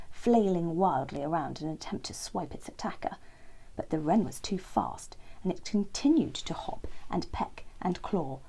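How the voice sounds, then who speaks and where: A woman tells a children's bedtime story in a soft, husky voice. Her intonation rises and falls widely, and she phrases each line dramatically and deliberately as storyteller. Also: on the low side, rough recording.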